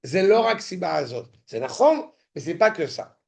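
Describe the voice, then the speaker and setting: Man teaching a study group, gravelly, stumbles and repeats himself, pushes his point hard. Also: fairly high pitch, very animated. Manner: halting, repeats words, checks in with listeners; turns insistent and indignant. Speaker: Torah teacher. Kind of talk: lecture in a study class.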